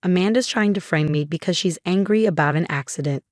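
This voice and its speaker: A woman's voice: fairly low pitch, brisk, no echo, lightly acted. A woman narrator acts out a scheming grandmother in a dramatic audio story. She is cooing and self-righteous, sweet on the surface and calculating underneath, in a dry recording. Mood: indignant. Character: scheming, falsely sweet grandmother and mother-in-law.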